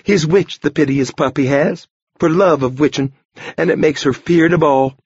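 A man's voice: fairly high pitch, moderate pace, a little mellow, breathy edge, no echo, lo-fi sound, voice pushed up. A man reading an audiobook voices a toothless old countrywoman who talks in a folksy old-fashioned dialect. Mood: fawning. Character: old toothless rustic tomato woman, wheedling.

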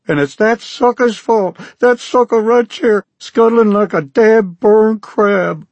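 A hulking middle-aged farmer from Oklahoma hollers through a pinched, high, twangy Oklahoma accent, grief boiling into rage. The tone has a rough, husky edge, and the recording is close and dry with no room around it. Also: male voice, slow, dry recording, lo-fi sound, exaggerated voice. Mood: enraged. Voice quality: twangy, shouting. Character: big furious weeping middle-aged male Okie farmer.

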